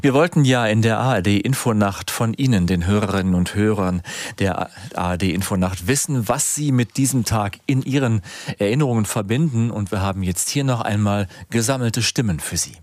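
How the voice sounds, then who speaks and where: Man, radio news presenter, studio, very low voice, dry close sound, formal scripted delivery. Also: fairly quick, a little mellow, dry recording.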